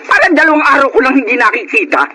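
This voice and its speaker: A woman's voice: sits high, very animated, quick pace. A Filipino woman playing a weary, bitter middle-aged mother who scolds and laments in a radio drama. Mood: weary scolding. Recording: faint background noise.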